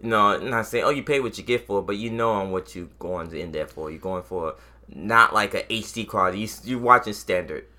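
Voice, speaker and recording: A man's voice: fairly low pitch, moderate pace, sharp tone. A man co-hosting a casual podcast chat about movies and games, blunt and slangy, swearing freely. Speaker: podcast co-host.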